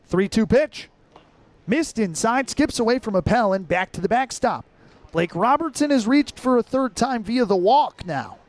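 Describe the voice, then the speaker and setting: A man's voice: extremely high pitch, expressive, moderate pace, dry recording. A young American man calling baseball live as a radio play-by-play announcer, in clipped, descriptive phrases. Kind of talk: live sports commentary.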